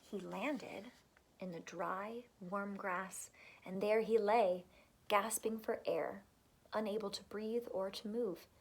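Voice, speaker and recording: A woman teacher reading a storybook aloud to children, expressive, with dramatic pauses and emphasis. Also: mid-range voice, slightly rough, rough recording.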